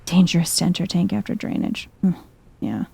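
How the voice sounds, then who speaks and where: A soft-spoken woman giving chill, hushed ASMR commentary while thinking aloud through a video game. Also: lowish voice, big pitch swings, brisk, vocal fry, breathy edge.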